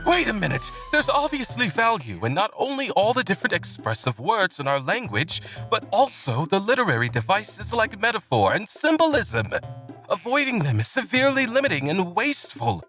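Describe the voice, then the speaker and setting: A man's voice: high voice, wildly swooping pitch, moderate pace, a bit bright, heavily acted, low-quality recording. Guy doing sensitive nerdy poet boy, earnest n dramatic, voice jumps around, faint music behind. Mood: flustered, indignant. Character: sensitive, flustered, bookish young male poet. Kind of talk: visual novel audio drama acting.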